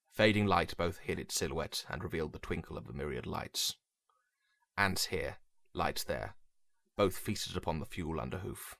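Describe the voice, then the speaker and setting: A man reading dramatic fiction as a narrator, in a brooding, measured, theatrical way. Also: sits low, gravelly, a bit bright, no echo, studio quality. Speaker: story narrator. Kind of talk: dramatic scripted story narration.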